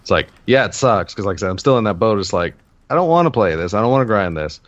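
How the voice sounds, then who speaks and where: A man with a low voice chatting casually on a gaming podcast, circling his points with fillers and restarts. His voice is dry and close, with a slightly dull, lo-fi sound. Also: expressive, fast talker, no echo. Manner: rambling, many fillers and restarts. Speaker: podcast co-host.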